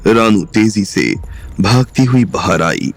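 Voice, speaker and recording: A man narrates an audiobook drama slowly and deliberately, his low voice full of gravel. Soft music plays behind him the whole time. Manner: slow, measured storytelling.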